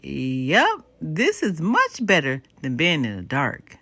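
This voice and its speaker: A cheerful, observant big sister from a storybook, voiced by a woman. Her speech is earnest and bright, with very lively rises and falls. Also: low-pitched, slow, no room sound, exaggerated voice. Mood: thoughtful. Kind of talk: children's storybook read-aloud.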